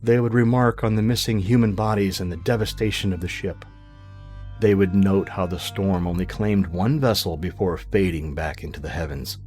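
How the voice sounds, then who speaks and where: A man narrating a scripted fiction podcast in a measured, grave, dramatic storytelling manner. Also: sits low, darkish tone.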